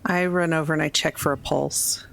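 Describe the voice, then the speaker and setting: A woman's voice: low voice, steady tone, moderate pace, gravelly, fairly bright tone. A woman chatting casually as a player in a tabletop roleplaying game.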